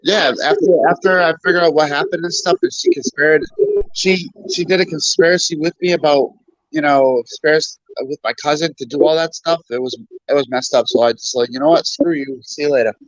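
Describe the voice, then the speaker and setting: A man with a voice a bit higher than usual chats as a guest over a video call, stumbling and repeating himself as he talks. He sounds a little stuffy, and the call audio is dry and somewhat muffled. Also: no echo. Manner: halting, repeats himself, many fillers. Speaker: guest on stream. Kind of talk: casual chat.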